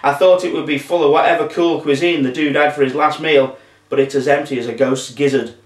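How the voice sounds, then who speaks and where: A man's voice: a little high, fairly even intonation, a little fast, close to an everyday voice. Guy doing a chilled out surfer zombie, slangy drawl, storybook voice, roomy and far from the mic. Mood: chatty, relaxed. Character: laid-back surfer-dude zombie, cheerful and gross. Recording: roomy, distant microphone.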